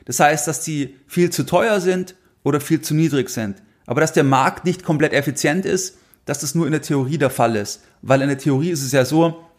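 A man's voice: fairly high pitch, moderate pace. A man hosting a finance podcast, explaining in a monologue and repeating phrases to drive points home.

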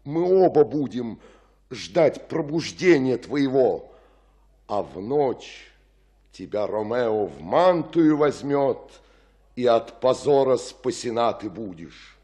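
An actor playing an older, fatherly friar in a radio tragedy, speaking in a husky, measured, solemn declamation. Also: medium pitch, lively intonation, mellow. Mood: urgent. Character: grave fatherly friar counselling a desperate girl. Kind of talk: Russian radio play acting of classical tragedy.

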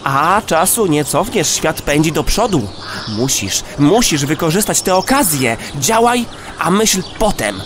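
An actor voicing a little rat, an urging friend, in a children's audio play. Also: male voice, a little high, very animated, a little slow. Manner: urging, pushy. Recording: clear noise with birdsong.

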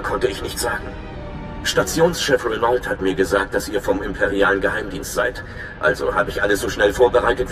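A man's voice: on the low side, reverberant, lightly acted. A man playing a nervous, friendly young undercover informant in a video game, chatty and informal with a touch of anxiety. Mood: anxious, confiding. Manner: chatty, informal, slightly anxious. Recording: clear music behind the voice.

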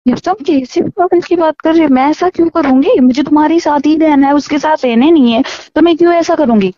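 A woman's voice: high voice, a little fast, mellow, no room sound. A woman talking casually in a private phone conversation, heard on a dull lo-fi call recording.

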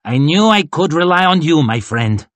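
Man doing a booming, raised-pitch army commander. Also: husky, no room sound. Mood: brisk command. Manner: brisk, authoritative, barking orders. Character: commanding, booming older lord marshal of an army.

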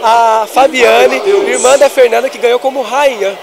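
Upbeat young reporter in a crowd. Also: male voice, very high voice, steady tone, moderate pace, gravelly. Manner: upbeat, raises his voice over the noise. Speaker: event reporter. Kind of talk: on-the-spot interviews. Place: crowded indoor festival hall. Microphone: handheld mic. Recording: handheld mic amid loud crowd.

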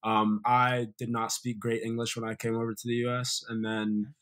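A man's voice: mid-range voice, normal speed. A young man from Sierra Leone with an American accent, telling stories as a guest in a casual interview over a video call. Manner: relaxed storytelling, many fillers. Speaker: guest, former college soccer player. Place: bright room indoors, video call.

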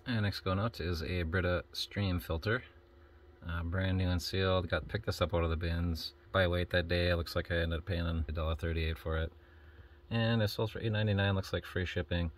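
Very low-voiced man, casual vlog narration. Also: steady tone, moderate pace, a little hiss or hum. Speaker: online reseller hosting a shipping vlog. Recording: music behind the voice. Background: background music.

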